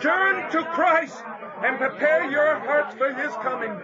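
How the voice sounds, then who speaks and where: An actor playing an earnest, unyielding preacher in an audio drama, speaking in a formal, fervent, declamatory way. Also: male voice, very high-pitched, slow pace, over a noisy crowd, very noisy. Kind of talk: audio drama acting with first-person narration. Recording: muffled audio drama with music bed.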